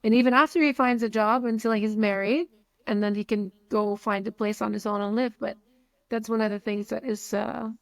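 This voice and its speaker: A woman's voice: fairly high pitch, steady tone, moderate pace, dry recording, low-quality recording. Palestinian-accented woman in her 40s, frank chatty guest, dry close sound, stumbles and restarts. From Saudi Arabia. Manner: frank, repeats words when starting a thought. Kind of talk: casual interview talk.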